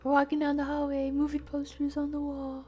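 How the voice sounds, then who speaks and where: A woman streamer giving casual, chatty horror game commentary, muttering to herself in a breathy voice that often drops to a whisper. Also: sits high, completely flat delivery, a little fast, darkish tone.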